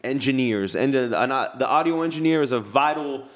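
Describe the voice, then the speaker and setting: A man hosting a podcast, interviewing in a casual, slangy way with halting, repeated phrases. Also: mid-range voice. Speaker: podcast host.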